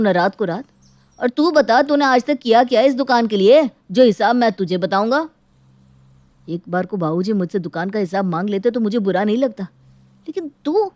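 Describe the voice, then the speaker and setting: A woman's voice: a little high, darkish tone, dry recording, lightly acted. A woman voicing a hardworking shopkeeper, the aggrieved elder brother in a dramatized moral story, earnest and protesting. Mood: indignant.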